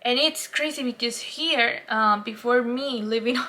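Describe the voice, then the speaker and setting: A bright, somewhat high-pitched woman with a Colombian Spanish accent talks to camera in a relaxed, rambling way. She laughs easily and trips over her words, restarting sentences as she goes. She speaks English as a second language after Spanish. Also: measured pace. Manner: chatty and rambling, laughs often, many restarts. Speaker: vlogger. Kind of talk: casual travel vlog.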